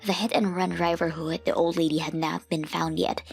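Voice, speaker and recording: Husky low-voiced woman narrating a story. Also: fairly even intonation, a little fast, faint music behind. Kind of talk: scripted first-person story narration for a manga dub.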